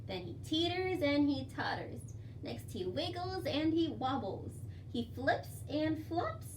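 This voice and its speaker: A woman with a high voice reads and recites for young children in an expressive, sing-song storytime style. She takes her time over the words. The mic is a little distant, with faint noise behind her. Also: slow pace. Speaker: children's librarian leading storytime. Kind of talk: children's storytime with songs, rhymes and read-aloud.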